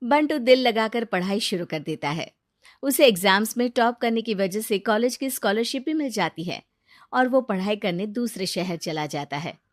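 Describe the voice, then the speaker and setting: A woman tells a moral story at a steady, even storytelling pace, her voice at an ordinary middle pitch. The recording is dry and close, with no room sound. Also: moderate pace, no echo. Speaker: narrator. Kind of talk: scripted story narration.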